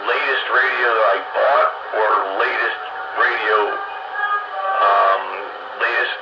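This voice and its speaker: A man's voice: medium pitch, fairly even intonation, slow. A middle-aged man chatting casually and bluntly, rambling through his opinions with emphasis. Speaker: host of a hobby radio round-table. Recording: muffled, very noisy, echoing radio link.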